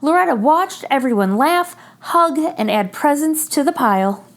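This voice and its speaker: A woman hosting a read-aloud for young children, telling a picture book in an expressive storytelling style with deliberate phrasing. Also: high-pitched, slight background noise. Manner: addresses young listeners directly, clear deliberate phrasing.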